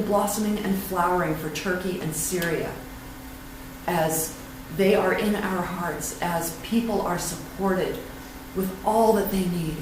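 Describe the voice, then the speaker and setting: A woman leading a church invocation and readings in a prayerful, unhurried, affirming way. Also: mid-range voice. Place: church sanctuary. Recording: echoey room, far from mic, noisy.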